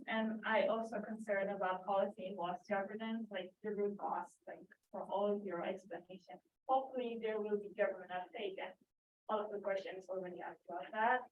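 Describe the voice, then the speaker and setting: A woman from Indonesia with an Indonesian accent, asking a spontaneous question from the audience at a conference. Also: medium pitch. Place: conference room, away from the mic. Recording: roomy, distant, dull, faint noise.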